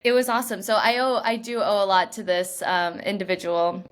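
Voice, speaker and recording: A woman's voice: medium pitch, little pitch movement, brisk. Chatty young woman with frequent laughs. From Washington state, US. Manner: chatty, laughing, many "like" fillers and restarts. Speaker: actress, interview guest. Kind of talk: casual interview chat.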